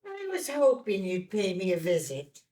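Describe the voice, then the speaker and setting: A woman's voice: mid-range voice, wildly swooping pitch, mellow. A woman playing a kindly, folksy small-town diner owner and mother in a film script table read. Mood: friendly. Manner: folksy, unhurried.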